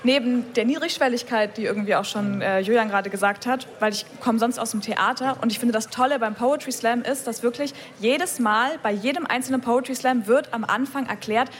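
A young woman, a poet and theatre director, speaking relaxed and chatty as a radio guest. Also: on the high side, a little fast, hardly any pauses. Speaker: poetry slammer and theatre director.

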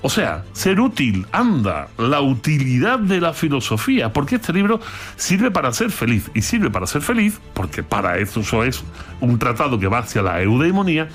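A man from Andalusia with an Andalusian accent, explaining philosophy in a lively talk with playful, theatrical asides. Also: mid-range voice, normal speed, soft background music. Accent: Andalusian Spanish. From Andalusia, Spain. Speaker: philosophy commentator.

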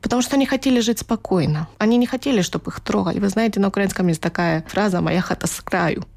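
A woman writer from Ukraine speaks as an interview guest, in a voice of average pitch. She is reflective and personal, and often repeats a phrase to stress it. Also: quick pace, vocal fry, darkish tone. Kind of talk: conversational interview answers.

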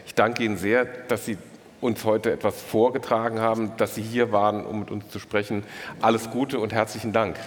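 A man hosting a live literary event, putting prepared interview questions in a measured, polite and formal way. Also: lowish voice, level tone, slight background noise. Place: large echoing room at a live literary event. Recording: reverberant hall.

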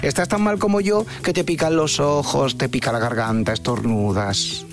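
A man presenting the news in a chatty, expressive way, with frequent exclamations and a conversational tone. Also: medium pitch, normal speed, over music. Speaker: news anchor.

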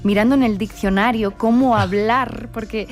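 A woman's voice: a little high, fairly even intonation. A woman hosting a radio interview, enthusiastic, asking questions about a book. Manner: enthusiastic, reads aloud slowly. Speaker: radio host.

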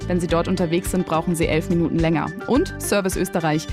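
A woman's voice: lowish voice, steady tone, quick pace. A woman presenting scripted service announcements as a radio host. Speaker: radio host reading weather and traffic. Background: music bed.

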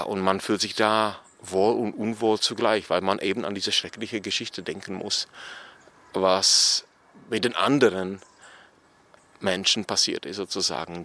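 Low-voiced guy musing about graves, hesitant, full of fillers, outside. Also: fairly bright tone. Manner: reflective, many fillers like "sozusagen" and "in der Tat". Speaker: author. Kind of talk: walking conversation. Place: outdoor cemetery.

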